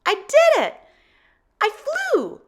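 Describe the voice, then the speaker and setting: A woman's voice: extremely high pitch, huge pitch swings, takes it slowly, pitched above the performer's own voice, exaggerated voice. A woman voicing an eager, determined young female pig in a children's picture book, speaking in short, simple exclamations. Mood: proud excitement.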